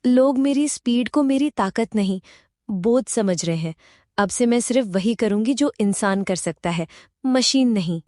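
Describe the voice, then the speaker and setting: A woman's voice: on the high side, lively intonation, measured pace, a little mellow, dry recording, clean studio sound. A woman voicing a hardworking young daughter-in-law in a cartoon story, firm and indignant. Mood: hurt. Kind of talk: cartoon voice acting.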